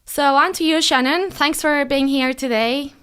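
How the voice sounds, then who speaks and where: A woman's voice: sits high, moderate pace, a bit bright. Spanish-born woman hosting a podcast. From Spain. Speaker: podcast host and business owner. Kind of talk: casual podcast chat.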